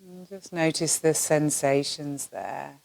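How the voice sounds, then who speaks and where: Slow, low-voiced woman guiding relaxation. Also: gravelly, dry recording. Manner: soothing, gentle, with long pauses between phrases. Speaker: yoga nidra teacher. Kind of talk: guided relaxation meditation.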